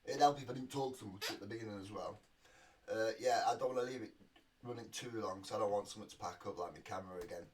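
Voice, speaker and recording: A man's voice: on the low side, fast, darkish tone. Sniffly man rambling at home. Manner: rambling, self-deprecating asides. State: slightly sniffly with a cold. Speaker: reaction video host. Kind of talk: casual chatty commentary. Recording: distant mic with faint noise.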